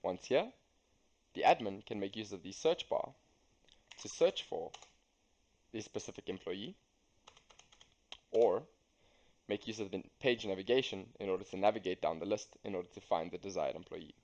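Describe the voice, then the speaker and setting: Low-voiced young man narrating a tutorial. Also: animated, normal speed, pauses often, darkish tone. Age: young adult. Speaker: student software demonstrator.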